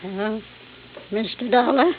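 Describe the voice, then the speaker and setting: An actress playing a frail, dying, genteel old lady in an old radio drama, speaking in a halting, gracious, weary way. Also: on the high side. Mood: weary, confessional. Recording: tinny, noisy old radio recording.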